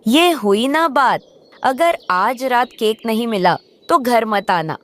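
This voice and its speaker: A woman's voice: on the high side, expressive, slow pace. A woman voicing a sarcastic, nagging wife crow scolding her husband.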